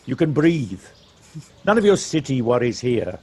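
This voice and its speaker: An actor playing a friendly, gently teasing countryman who drives and chats in an unhurried way, in an audio play. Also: mid-range voice, sing-song, slightly rough. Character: friendly Welsh countryman, chatty driver fond of hills. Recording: home device recording, light background noise. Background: birdsong.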